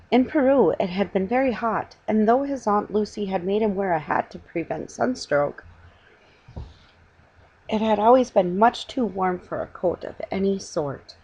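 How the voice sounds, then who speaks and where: A middle-aged woman reading a children's story aloud at home, in a somewhat halting way. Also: medium pitch, a little mellow, slightly rough, low-quality recording. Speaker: amateur storybook reader. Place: room at home, indoors.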